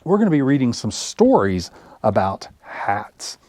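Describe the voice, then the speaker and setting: A man hosting a story time for young children, reading aloud with deliberate, simple phrasing. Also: medium pitch, big pitch swings, mellow, dry recording. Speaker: library story time host.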